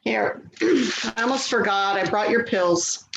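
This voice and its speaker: A woman's voice: medium pitch, slow, fairly bright tone. A woman acting a harried, placating adult daughter in a readers theater play, weary, hesitant and pleading. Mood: exasperated. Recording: dull, echoey, clearly noisy audio.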